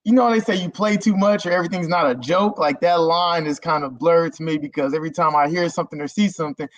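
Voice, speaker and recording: A man's voice: fairly high pitch, fast, no echo. A man, a content creator guest, talking casually about himself in an easygoing, rambling way over a video call. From Germany. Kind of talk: casual interview talk. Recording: dull lo-fi stream audio.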